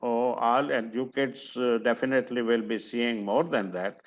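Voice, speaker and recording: An older man from Pakistan, a retired museum director, introducing himself slowly on a video call with many pauses and fillers. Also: mid-range voice, fairly even intonation. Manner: slow and halting, many fillers and restarts. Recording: muffled video call audio.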